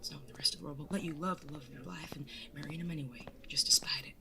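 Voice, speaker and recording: Woman playing a low-voiced, earnest young bandit groom. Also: creaky, background noise. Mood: nervous rehearsing. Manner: jittery, earnest, halting. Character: nervous young bandit groom, devoted, earnest, on wedding day. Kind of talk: audio drama acting.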